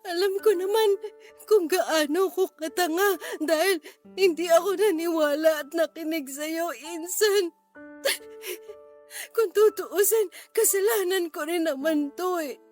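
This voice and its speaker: A woman's voice: very high voice, measured pace, a little mellow. An actress with a Filipino accent playing a distraught, ashamed young woman in a radio drama, crying as she pleads. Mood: self-blaming. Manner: tearful, pleading, self-blaming. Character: distraught, ashamed young woman confessing abuse to her cousin. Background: background music.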